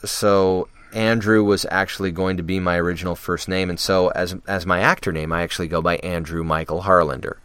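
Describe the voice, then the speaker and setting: Male podcast guest, very low and smooth, casual and rambling. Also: fairly even intonation, a little fast, hardly any pauses, a bit bright, dry recording. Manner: rambling, many fillers and restarts. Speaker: podcast guest, radio DJ and actor. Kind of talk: casual interview chat.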